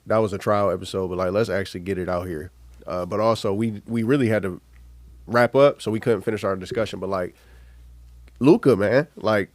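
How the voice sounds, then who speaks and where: American man, lowish voice, dry recording, podcast host, casual sports talk, questioning and probing. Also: dry recording. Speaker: podcast host steering the discussion.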